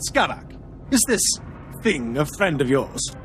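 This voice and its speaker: A man's voice: a little high, big pitch swings, unhurried, over music. A male actor playing a haughty, impatient imperial military commander in a sci-fi video game, with a pompous, imperious delivery. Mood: exasperated. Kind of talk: video game cutscene acting.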